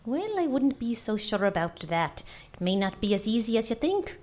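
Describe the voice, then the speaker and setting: A woman's voice: on the high side, very animated, normal speed, darkish tone. A woman voicing a clever giant's wife, sweetly sly and coaxing.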